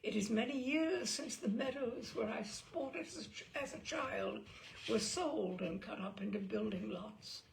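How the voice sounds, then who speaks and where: A woman's voice: on the high side, unhurried, vocal fry, slight background noise. A woman voicing a mischievous English lord of about sixty, grand and leisurely, relishing his words, in a storybook reading. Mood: jaunty, nostalgic. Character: jaunty, mischievous sixty-ish English lord, an incorrigible uncle.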